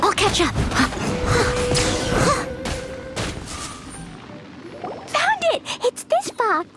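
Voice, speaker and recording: A woman voicing an innocent, excitable little girl treasure hunter in a video game, childlike and eager. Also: extremely high pitch, unhurried, soft background music, exaggerated voice. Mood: excited. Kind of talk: English video game voice acting.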